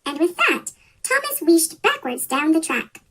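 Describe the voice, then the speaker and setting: Tiny squeaky chipmunk voice reading a kids storybook, super high n bouncy. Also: sing-song, unhurried, bright, forceful tone. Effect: sped-up chipmunk pitch shift. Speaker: children's story reader. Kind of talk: scripted storybook read-aloud.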